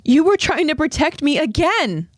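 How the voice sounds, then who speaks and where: A woman voicing an earnest, insistent young heroine in an animated story, emotional and pleading. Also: sits high, normal speed, no echo, studio quality. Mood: realizing.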